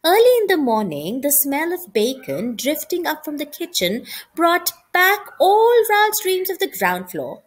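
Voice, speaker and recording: Animated woman reading a children's book. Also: high voice. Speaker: storybook reader. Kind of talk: scripted children's book narration, expressive read-aloud.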